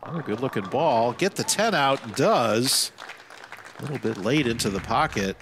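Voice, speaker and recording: A man doing live bowling commentary, giving measured analysis with excited calls on shots. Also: mid-range voice, a crowd in the background, noisy background. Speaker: sports commentator.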